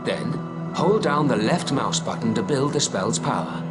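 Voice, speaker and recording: Guy doing a patient wizard teacher in a video game, mid-pitched, encouraging, loud music under him. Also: lively intonation, moderate pace, lightly acted. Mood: patient, instructive. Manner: instructive, encouraging. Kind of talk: video game tutorial dialogue.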